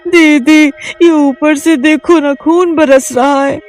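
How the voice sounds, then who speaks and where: A woman voicing a young village woman in a cartoon who panics easily and wails in a shrill voice. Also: very animated, slow, a little mellow, full character voice. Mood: insistent. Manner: shrill and wailing, easily frightened. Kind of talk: cartoon voice acting.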